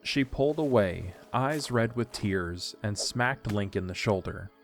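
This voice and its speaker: A man's voice: on the low side, lively intonation, unhurried, faint music behind. A man narrating a scripted story for an audio drama.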